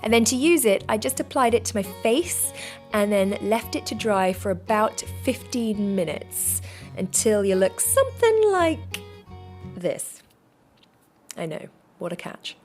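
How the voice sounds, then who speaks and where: A woman with a slightly croaky voice, chatting cheerfully and self-deprecatingly through a how-to vlog. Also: mid-range voice, sing-song, unhurried, soft background music. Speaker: vlogger.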